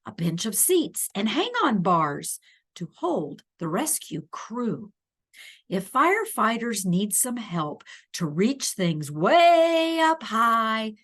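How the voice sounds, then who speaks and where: A woman reading a children's picture book aloud expressively, with deliberate emphasis and playful little asides to the young listener. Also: medium pitch, fairly bright tone.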